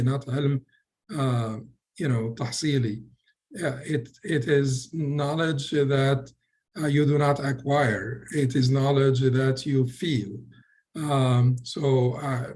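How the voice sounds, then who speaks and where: A middle-aged man from Egypt with an Egyptian accent, a physician speaking on a video call with many pauses and fillers. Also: medium pitch. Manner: hesitant, many fillers and restarts. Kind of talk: personal talk in an online session.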